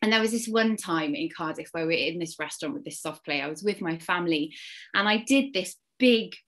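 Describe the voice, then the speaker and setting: A woman's voice: on the low side, a little fast. Earnest woman with faint Welsh accent. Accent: slight Welsh. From Wales. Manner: earnest, expressive storytelling. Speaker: church speaker. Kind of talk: Easter talk to a congregation.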